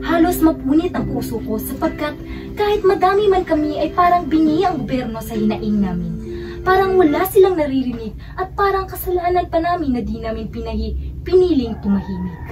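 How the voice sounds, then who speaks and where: A woman plays an activist leader recounting her struggle in a radio drama. The voice is high, emotional and swooping, a little off the mic, with prominent music beneath. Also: expressive, fairly quick. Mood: aggrieved. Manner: earnest, emotional. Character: activist woman leader earnestly telling her life story. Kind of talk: radio drama first-person storytelling. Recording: distant mic under loud music.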